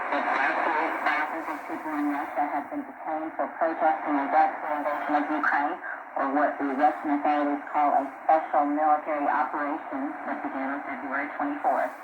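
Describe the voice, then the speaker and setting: A woman reading scripted news formally, heard through a shortwave radio. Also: low voice. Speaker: radio newsreader. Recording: noisy, echoey shortwave radio playback.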